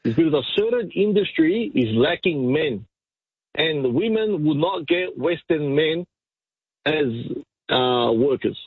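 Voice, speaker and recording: An excitable man with a high, husky voice, calling a talk radio show and bantering insistently, often repeating himself. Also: a little slow, dry recording. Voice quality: high-pitched voice. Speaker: talk radio phone-in caller. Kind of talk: animated banter and argument. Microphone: phone call. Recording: tinny narrow phone line.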